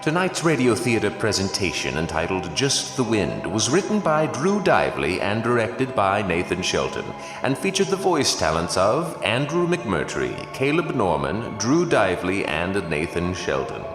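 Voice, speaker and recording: A man's voice: on the low side, wildly swooping pitch, a little slow, few pauses. Guy as spooky radio announcer, grand theatrical delivery, big echo, music behind. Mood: ominous formality. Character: ominous, gleefully formal horror radio announcer. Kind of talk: scripted radio credits in horror-host style. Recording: echoey hall reverb.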